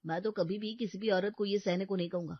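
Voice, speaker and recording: A woman's voice: medium pitch, steady tone, quick pace, lightly acted. A woman playing an indignant young man on the phone. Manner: indignant, consoling. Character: protective young man, angry brother figure. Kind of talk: storybook voice acting. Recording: dull lo-fi studio voice.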